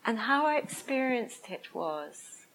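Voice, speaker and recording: A woman with a quiet, soft voice leads a group through a reflective meditation talk. She speaks slowly and tentatively, often pausing to find her words before guiding the listeners inward. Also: fairly high pitch, big pitch swings, poor audio. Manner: slow, hesitant, searching for words. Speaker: meditation teacher.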